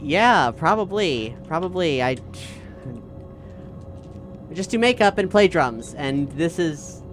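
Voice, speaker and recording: Stammering woman gamer, low-pitched voice. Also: lively intonation, slow. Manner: stammering, repeats phrases. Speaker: player in tabletop game. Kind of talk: casual in-character game chat. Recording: faint music behind voice. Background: faint music.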